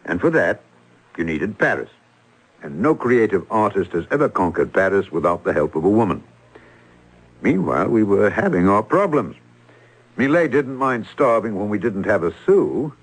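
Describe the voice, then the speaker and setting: Wry, low-voiced schemer, very animated. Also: male voice, moderate pace, husky, low-quality recording. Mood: wry storytelling. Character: wry, smooth-talking artist schemer recounting his swindle. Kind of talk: old-time radio drama acting.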